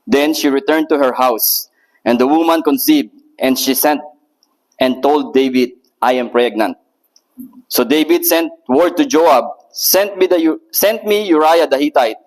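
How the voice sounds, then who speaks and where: A man's voice: fairly high pitch, measured pace, a little mellow, low-quality recording. Nervous Filipino-British man preaching. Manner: nervous, hesitant, many filler ums. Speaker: guest preacher. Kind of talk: sermon with scripture reading.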